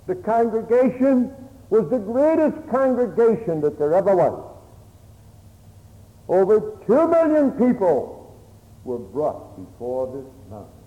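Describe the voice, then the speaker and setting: A man preaching a solemn, oratorical Bible sermon, telling the story emphatically in short, halting phrases. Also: high voice, wildly swooping pitch, phone-quality sound. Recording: echoey hall with long reverb.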